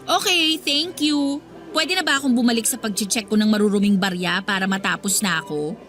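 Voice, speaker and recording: A woman's voice: mid-range voice, brisk, a bit bright, soft background music, close to an everyday voice. A woman playing a defensive, evasive young supermarket cashier with a Filipino accent in a radio drama. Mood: feigned indifference. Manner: evasive, snippy, sarcastic. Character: defensive young female supermarket cashier avoiding her crush.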